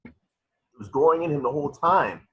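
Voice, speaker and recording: A man's voice: on the high side, lively intonation, fairly quick, slight background noise, close to an everyday voice. A man in an amateur table read playing a blunt, combative spaceship engineer, on muffled lo-fi audio. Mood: blunt, agitated.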